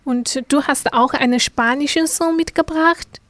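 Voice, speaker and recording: Higher-voiced woman presenter, very dry sound. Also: measured pace, fairly bright tone, dry recording. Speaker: radio magazine host. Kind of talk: scripted presenting and interviewing.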